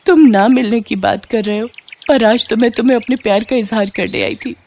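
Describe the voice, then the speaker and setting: A woman's voice: fairly high pitch, steady tone, brisk, darkish tone. A woman voicing a polite, earnest young rich woman in an acted storybook dialogue. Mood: earnest, loving.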